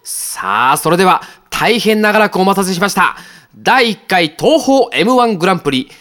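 A woman's voice: medium pitch, very animated, moderate pace, fairly bright tone. A woman playing a chatty, bemused emcee hosting a comedy contest in an audio drama. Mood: showy, excited. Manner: wry, reacting, showy announcing. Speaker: host. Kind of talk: game-show style hosting in audio drama. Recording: voice over background music.

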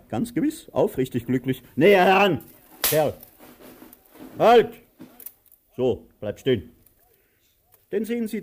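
An actor in a radio play voicing a pompous, tyrannical landowner who mixes grandiose speeches with barked commands. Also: male voice, a little high, a little mellow. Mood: barking, domineering. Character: pompous, tyrannical landowner, theatrical and domineering to his servant.

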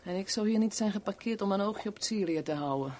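A woman's voice: mid-range voice, lively intonation, fast talker, darkish tone, a little hiss or hum, natural voice. Worldly, sardonic woman played by an actress in radio drama. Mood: wry, bored. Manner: wry, teasing, blunt. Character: worldly, sardonic kept woman, bored and frank. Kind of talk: 1970s Dutch radio play acting.

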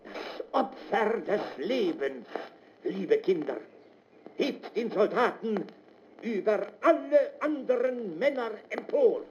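High-pitched preaching orator, radio drama, pompous, slow and deliberate, swooping intonation, muffled recording. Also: male voice, animated, a little mellow. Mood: solemn, exalting. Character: solemn orator preaching soldierly sacrifice to children. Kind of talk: declamatory radio drama monologue. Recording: dull, noisy lo-fi sound.

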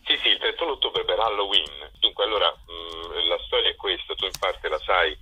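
Male interview guest: low, raspy, hard-edged voice, halting explanatory delivery. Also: bright, forceful tone, rough recording. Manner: halting, self-correcting, explanatory. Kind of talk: conversational interview answers.

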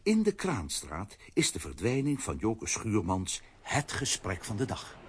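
A man's voice: lowish voice, wildly swooping pitch, unhurried, noisy background. A man narrating a children's radio play as a vivid scripted storyteller.

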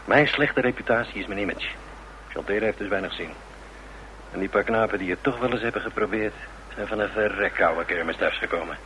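A man playing a brash, cynical band musician in a radio drama, talking in a gruff, slangy, dismissive way. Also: fairly low pitch, fairly quick, sharp tone. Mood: boastful, cynical. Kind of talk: Dutch radio drama acting. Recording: muffled, noisy old radio drama recording.

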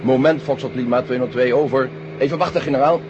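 An actor playing a dutiful, anxious helicopter pilot in a 1970 radio drama, deferential and procedural. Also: male voice, medium pitch, steady tone, quick pace, muffled recording, close to an everyday voice. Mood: businesslike. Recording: old radio recording, loud engine noise.

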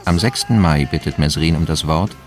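A man reading scripted documentary-style narration for a radio drama in a factual, measured manner. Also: rumbling low, faint music behind.

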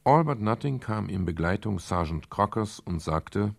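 An actor playing a sober, composed private detective in a radio crime drama, speaking in a measured, matter-of-fact way. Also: male voice, on the low side, expressive, creaky, noisy background. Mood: subdued. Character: male private detective narrating his case, sober and composed. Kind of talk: 1970s German radio crime drama, narration and dialogue.

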